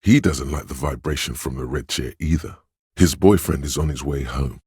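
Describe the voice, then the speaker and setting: A man voicing a suave, coaxing inner voice of persuasion in a video game, with a husky, insinuating, knowing delivery. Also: very deep voice, normal speed, darkish tone, dry recording, clean studio sound, heavily acted. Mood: measured, observing. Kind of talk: video game voice acting, advisory narration.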